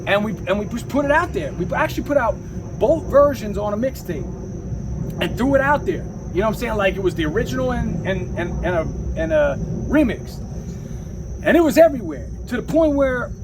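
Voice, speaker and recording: A man with a husky voice telling stories casually as a rapper being interviewed, rambling and slangy, often saying "you know.". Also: high voice, lively intonation, a little fast, noisy background.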